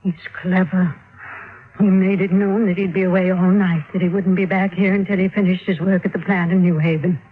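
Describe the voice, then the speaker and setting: An actress playing a frail, fearful little old widow, speaking gravely and haltingly in old-time radio drama style. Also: female voice, lowish voice, level tone, natural voice. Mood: urgent, pleading. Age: older. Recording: tinny, noisy old radio recording.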